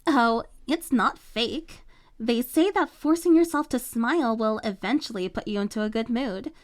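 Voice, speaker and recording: A woman voicing a spoiled, scheming teenage rich girl with a high, whiny, sneering voice. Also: moderate pace, lightly acted. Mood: sarcastic. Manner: sneering, self-pitying.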